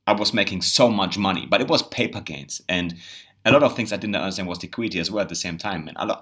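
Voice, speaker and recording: A man's voice: low-pitched, fairly bright tone. A man from Germany with a German accent, a native German speaker, giving a rambling, earnest casual monologue as a crypto vlogger. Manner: rambling, earnest, repeats words and says "you know".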